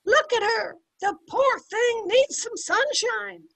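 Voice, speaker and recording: A woman's voice: extremely high pitch, slow, creaky, a bit bright, full character voice. An older woman playing a brash, sarcastic housemaid in an amateur old-time radio comedy, over a video call. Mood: insistent. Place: room at home, video call.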